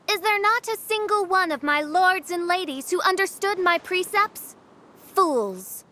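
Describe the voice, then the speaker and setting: A woman's voice: extremely high pitch, expressive, unhurried, bright, forceful tone, full character voice. A woman playing a haughty young princess in a video game, speaking in a pompous, archaic and dramatic way. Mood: exasperated.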